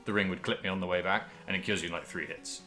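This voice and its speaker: A man co-hosting a podcast, casually talking through video games in a halting, thinking-aloud way. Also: low-pitched, steady tone, sharp tone, soft background music. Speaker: podcast host. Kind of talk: casual video game discussion.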